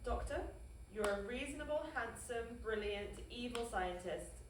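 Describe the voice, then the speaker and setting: A woman playing an anxious, flattering official who negotiates in an animated comedy table read, pleading and coaxing. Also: on the high side, a little slow. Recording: echoey room, distant mic, faint hiss.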